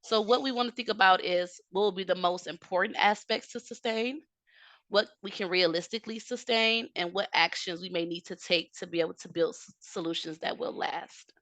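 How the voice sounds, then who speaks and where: Bright, dry-sounding woman presenting a webinar. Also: lowish voice, normal speed, no echo. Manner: steady, explanatory, frequent "um" fillers. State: slightly hoarse from a cold. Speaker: technical assistance presenter. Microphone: video call.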